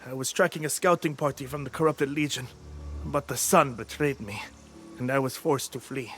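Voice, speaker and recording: An actor playing a proud, energetic desert warden in a game, exclaiming as he speaks. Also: medium pitch, moderate pace, faint music behind, natural voice. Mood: urgent. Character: brave male desert warden, eager and proud. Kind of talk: video game cutscene acting.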